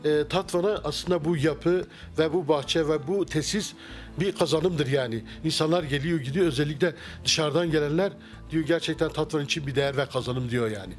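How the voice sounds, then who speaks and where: Hesitant middle-aged man, slightly high voice. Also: a little fast, faint music behind. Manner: hesitant, many fillers and restarts. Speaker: restaurant owner. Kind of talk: casual interview. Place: wood-paneled restaurant interior. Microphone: handheld mic held by interviewer.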